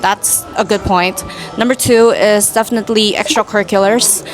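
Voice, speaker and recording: A woman's voice: mid-range voice, steady tone. Young woman, from Indonesia, twenties, casual chatty vlog talk, background music playing. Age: 20s. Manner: chatty, many "like"s, quick asides. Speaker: vlogger.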